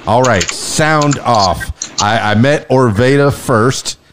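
A man hosting a podcast as a radio DJ, playful showman patter with big swings in pitch for emphasis. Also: slow pace, noisy background. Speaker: podcast host and DJ. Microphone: studio mic.

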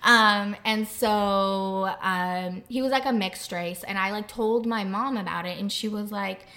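A young adult woman speaking candidly as a podcast guest, halting often with fillers and restarts. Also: mid-range voice, sharp tone. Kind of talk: candid conversational interview.

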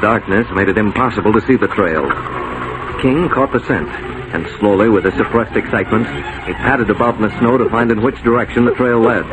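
An American man narrating and announcing a 1940s radio drama in a measured, formal manner. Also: on the low side. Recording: noisy vintage 1940s radio recording.

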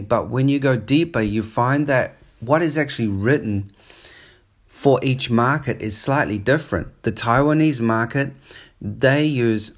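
A man with a New Zealand accent who talks in a casual, rambling monologue and often drifts off topic. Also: medium pitch. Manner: rambling, digressive, conversational. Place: on holiday up the coast in Australia.

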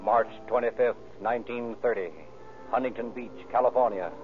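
A man's voice: mid-range voice, fairly even intonation, normal speed, soft background music. Formal male announcer on tinny old radio. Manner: formal, theatrical, emphatic phrasing. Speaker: announcer and narrator. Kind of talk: 1930s radio announcing, scripted narration.

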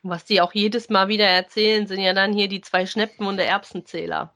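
A woman, co-hosting a relaxed, conversational review of audio dramas. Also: mid-range voice, fairly quick. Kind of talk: casual review chat.

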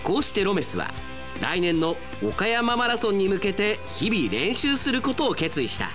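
A male radio announcer who narrates a drama and then chats with listeners. His pitch moves a lot. He is jokey and playful and sometimes rattles off lines in quick bursts. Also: sing-song, music in the background. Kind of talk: radio drama narration and casual host chat.

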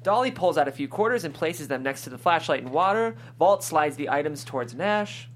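A woman's voice: deep voice, expressive, fairly quick. Woman reading stage directions, slightly noisy recording. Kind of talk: scripted narration at a table read.

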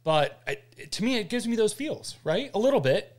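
A man speaks in a somewhat high voice that swings widely up and down. He is co-hosting a gaming show and chats casually and informally. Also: sing-song, brisk.

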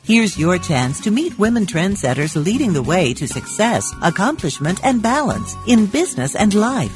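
A woman's voice: medium pitch, sing-song, normal speed. A woman reading a promotional podcast opening in an upbeat, sing-song way. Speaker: podcast announcer. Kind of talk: promotional announcement. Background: faint music.

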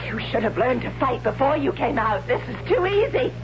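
A woman's voice: sits high, sing-song, fairly quick. An actress playing a fierce, mocking warrior woman who takes a man captive in an old radio drama. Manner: taunting, commanding. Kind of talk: 1970s radio drama acting. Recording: lo-fi old radio broadcast with music.

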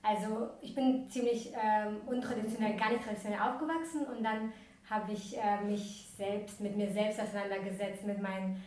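A woman's voice: medium pitch, fairly even intonation, moderate pace, a little mellow. A young woman from Ukraine, a podcast guest, talking casually with many fillers and restarts. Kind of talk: casual interview talk. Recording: echoey room, distant from mic.

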